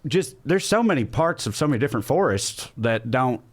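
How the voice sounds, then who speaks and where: A man hosts a comedy podcast in a casual, conversational style. His pitch sits in the middle of the male range and moves up and down a lot as he banters. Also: lively intonation, a little fast. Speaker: podcast host.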